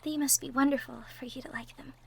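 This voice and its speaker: A woman playing a shy, sweet young cottagecore woman in love, speaking softly and hesitantly in an intimate roleplay audio drama. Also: high voice, fairly even intonation, fast talker, gravelly. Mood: hiding disappointment. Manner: hesitant, bashful, soft-spoken, trailing off. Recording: faint background noise, birdsong effects. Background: birdsong, outdoor nature sound effects.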